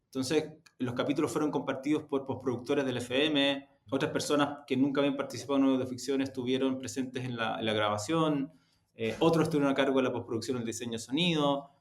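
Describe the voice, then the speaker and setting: Male interview guest, thoughtful and halting, mid-pitched, recorded in an echoey room. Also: steady tone. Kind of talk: conversational interview answers. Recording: roomy, echoing sound.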